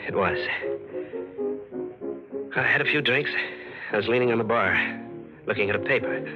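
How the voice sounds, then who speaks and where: An old radio thriller recording with loud music carries an actor's portrayal of a tough American fugitive. His voice is low, and he tells his story in wary, wry, streetwise slang with a hardboiled 1940s delivery. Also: lively intonation, normal speed, muffled recording, lightly acted. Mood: wry, cool. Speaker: actor and first-person narrator.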